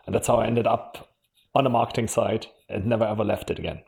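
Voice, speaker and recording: A man's voice: fairly low pitch, fairly even intonation, fast talker, creaky, darkish tone. A man with a German accent, a podcast guest who talks frankly and tells stories about his career in a casual interview. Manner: frank, storytelling, laughs often. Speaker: podcast guest, marketing executive.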